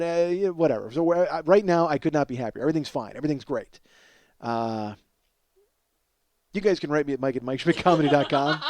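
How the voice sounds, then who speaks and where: A man's voice: mid-range voice, a little mellow. A middle-aged man talks as a comedy podcast host with a mid-range voice. He rambles casually through plugs and asides, exclaiming, cursing and putting himself down as he goes. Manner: profane, self-deprecating, exclamatory.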